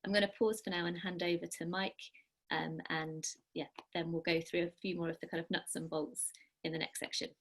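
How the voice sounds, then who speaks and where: A woman presents at an online workshop. Her voice sits low with little movement in pitch, and she speaks earnestly, with the odd filler. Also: little pitch movement, fairly quick, husky.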